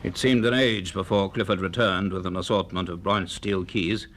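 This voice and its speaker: An actor playing an intense, authoritative university scientist in a radio drama, speaking with grave, deliberate urgency. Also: male voice, sits low, steady tone, a bit bright, slight background noise. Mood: grave, suspenseful. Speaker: narrator and lead actor. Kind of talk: radio drama acting and narration.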